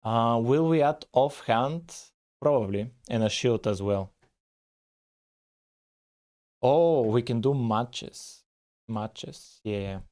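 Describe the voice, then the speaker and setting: A man, talking casually on a live coding stream, thinking aloud with frequent pauses and "uh"s. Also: mid-range voice, a little mellow. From Bulgaria. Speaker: live-streaming game developer.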